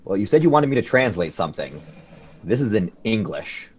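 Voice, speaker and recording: Casting: man as dry, incredulous scholar for radio drama, lowish husky voice with very lively swings. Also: fairly quick. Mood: exasperated. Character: exasperated, bookish male literature expert. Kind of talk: radio play dialogue.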